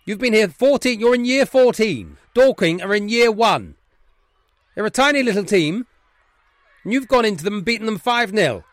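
A man acts out a stern headmaster telling off boys in a comedy sketch. The voice is loud, pushed high and emphatic, repeating points indignantly. The recording is dry. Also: animated, normal speed, no echo. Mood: scolding. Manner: loud, emphatic, scolding, repetitive.

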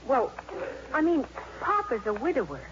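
A woman's voice: high-pitched, wildly swooping pitch, normal speed. An actress playing an earnest, easily flustered teenage schoolgirl with a stammering, breathless delivery in a 1950s radio drama. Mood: earnest, defensive. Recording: muffled, noisy 1950s radio recording.

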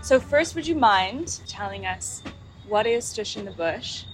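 A woman's voice: medium pitch, lively intonation, unhurried, music in the background. Upbeat woman podcast host. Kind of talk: host narration and interviewing.

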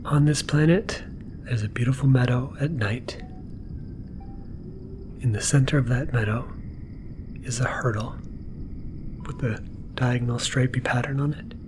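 A man hosting a bedtime podcast, narrating gently in a soft, half-whispered voice for relaxation. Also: measured pace, long pauses, noisy background. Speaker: podcast host. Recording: music playing behind the voice. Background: mellow music and low-key sound design.

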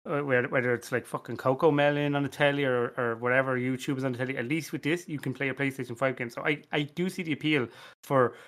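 A man's voice: medium pitch, fairly even intonation, quick pace, a bit bright. A man chatting casually on a panel, teasing and swearing now and then. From Ireland. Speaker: podcast panelist. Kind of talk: casual bantering panel chat.

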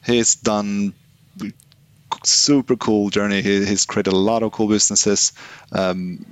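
A man's voice: lowish voice, little pitch movement, takes it slowly, no echo. A man speaking as a business guest in a casual interview, often restarting and repeating words as he thinks. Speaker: guest, online business founder.

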